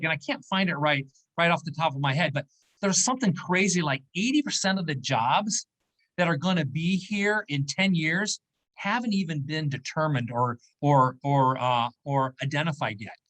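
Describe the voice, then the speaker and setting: A man's voice: fairly high pitch, normal speed, a bit bright, poor audio. Man in his fifties explaining things. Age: 50s. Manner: explanatory, checks in with "okay?" and "right?". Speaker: interview guest, business owner. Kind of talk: conversational interview.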